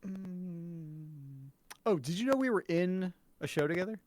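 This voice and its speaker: Joking casual talker with medium pitch. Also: animated, measured pace, darkish tone. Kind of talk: casual chat.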